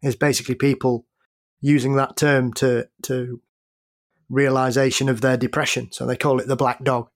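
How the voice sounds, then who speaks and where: A man's voice: medium pitch, little pitch movement, normal speed. A British man, a podcast guest, speaking in a hesitant, reflective way. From United Kingdom. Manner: hesitant, reflective, many restarts. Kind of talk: casual podcast chat.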